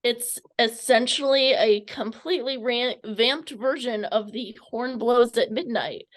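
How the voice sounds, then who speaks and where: A woman's voice: fairly high pitch, a little slow. Tentative woman interviewer, casual and rambling. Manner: tentative, rambling, stumbles over words.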